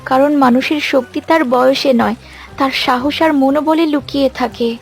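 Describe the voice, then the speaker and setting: A woman's voice: high-pitched, fairly even intonation, normal speed. A woman voicing a brave young village girl in a cartoon, speaking earnestly and with resolve. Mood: defiant. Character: brave, earnest young daughter of poor fisherman. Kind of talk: cartoon dialogue.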